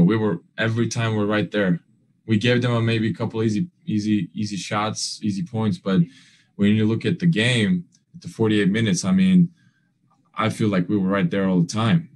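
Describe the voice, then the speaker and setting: A young male basketball player answering postgame questions over a video call. He speaks earnestly in halting phrases, full of fillers and restarts, with non-standard grammar. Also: lowish voice, darkish tone, low-quality recording. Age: young adult. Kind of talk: postgame press conference answers.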